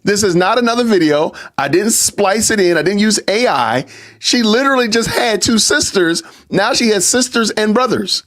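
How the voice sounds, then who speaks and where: A man's voice: high-pitched, expressive, moderate pace, fairly bright tone. A man hosting a live stream podcast, talking casually to his chat and repeating rhetorical questions. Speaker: podcast host.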